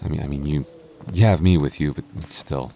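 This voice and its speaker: A man's voice: rumbling low, mellow, a little hiss or hum. A man playing an anxious, protective goth boyfriend in an audio roleplay, stammering and wry as he talks closely to his partner. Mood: wary, wry. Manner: stammering, halting, nervous, wry.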